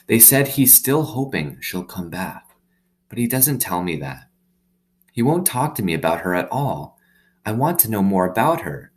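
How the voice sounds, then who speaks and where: Man voicing a lonely young girl, lifted pitch. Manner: plain-spoken, confiding, childlike phrasing. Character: lonely, earnest young girl confiding in her dog. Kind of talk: storybook read-aloud.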